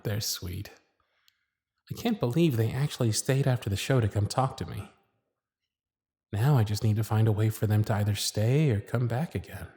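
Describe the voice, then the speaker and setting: A man playing a charming, gentle circus magician who is smitten and privately anxious, speaking tenderly and softly in an ASMR romance roleplay. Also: medium pitch, no room sound, studio quality. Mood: hushed longing. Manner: soft-spoken, flattering, tender, with hushed asides. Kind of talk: ASMR romance roleplay with intimate inner monologue.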